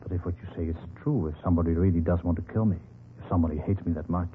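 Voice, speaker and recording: An actor playing a gentle, trusting but stubborn elderly businessman in an old radio drama, speaking mildly and hesitantly and repeating himself. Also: low voice, fairly even intonation, racing, close to an everyday voice. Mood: troubled, reluctant. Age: older. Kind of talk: vintage radio drama acting. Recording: tinny, noisy vintage radio recording.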